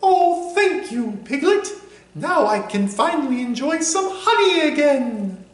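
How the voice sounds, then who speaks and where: A man voicing a gentle, slow-witted bear who loves honey and speaks in a soft, dreamy way. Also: very high voice, very animated, pitched above the performer's own voice, exaggerated voice. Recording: roomy echo.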